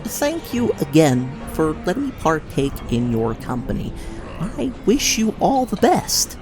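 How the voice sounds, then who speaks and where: An actor playing a small, polite gnome merchant with a hesitant, self-effacing manner in a fantasy audio drama. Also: male voice, a little high, huge pitch swings, measured pace, music in the background.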